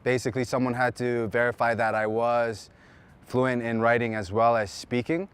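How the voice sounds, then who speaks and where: A young adult man, a student who teaches a language class, answering interview questions in a relaxed way with filler pauses. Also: mid-range voice, clear, resonant tone.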